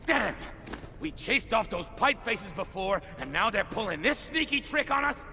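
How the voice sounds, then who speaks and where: An indignant, piercingly bright defender. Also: male voice, sits high, very animated, normal speed, faint music behind, exaggerated voice. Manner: forceful, exclamatory. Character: angry, indignant male camp defender. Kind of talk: dramatic video game cutscene acting.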